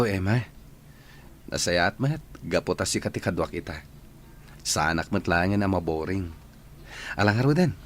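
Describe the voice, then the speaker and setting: A man's voice: low-pitched, slight background noise. An actor with an Ilocano Filipino accent playing a lovestruck young male trainee in a radio drama. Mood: friendly.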